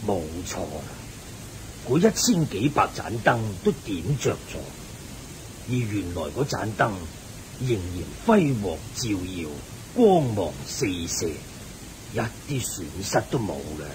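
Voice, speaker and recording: An actor playing a wise, benevolent Buddhist layman who teaches patiently in a Hong Kong Cantonese radio drama. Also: very animated. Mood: benevolent, solemn. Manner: measured, benevolent, didactic. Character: kindly, wise male Buddhist layman sage. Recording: hissy, rumbly old radio recording.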